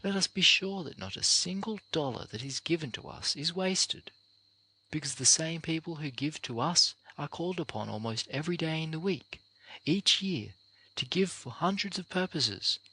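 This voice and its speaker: A man narrating an audiobook of a motivational address, reading in a deliberate, earnest, oratorical way with measured phrases. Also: fairly high pitch, animated, sharp tone, breathy edge, poor audio.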